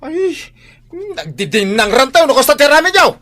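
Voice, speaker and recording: A man with an Ilocano Filipino accent playing an excitable, defensive husband in a comedic radio drama. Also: very high voice, normal speed, full character voice. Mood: outraged. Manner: blustering, exclaiming. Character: excitable, defensive husband, self-proud fence builder.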